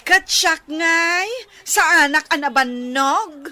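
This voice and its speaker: A woman's voice: sits high, slow pace, sharp tone, heavily acted. A woman acting a busy, exasperated wife and mother in an Ilocano radio drama, nagging and complaining with an Ilocano accent. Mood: scolding. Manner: complaining, scolding.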